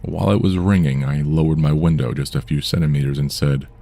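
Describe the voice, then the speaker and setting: A man reading true scary stories aloud in a measured, somber storytelling delivery. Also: very deep voice, fairly even intonation, gravelly, dark tone. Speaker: horror story narrator. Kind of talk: scripted true-crime horror narration.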